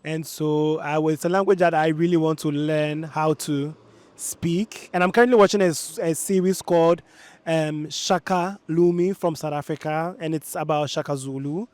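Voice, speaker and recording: Man from ghana, student, thoughtful answers, smooth clear tone, slightly high. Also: steady tone, normal speed, a little mellow, no echo. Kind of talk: casual interview answers.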